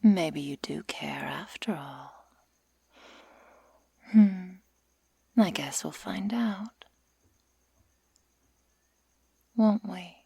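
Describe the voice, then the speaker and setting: Woman performing a sadistic werewolf girl, breathy and husky, low-pitched, taunting, menacing, ASMR roleplay. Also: mellow, dry recording, clean studio sound, exaggerated voice. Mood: mock generous. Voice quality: breathy, mostly whispered. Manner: slow, deliberate, mock-sweet taunting. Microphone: close microphone.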